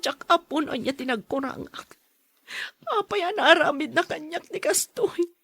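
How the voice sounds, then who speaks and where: A woman's voice: high voice, expressive, unhurried, fairly bright tone, no room sound, heavily acted. A woman playing a betrayed, hurt young wife in an Ilocano audio drama. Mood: sad, hurt.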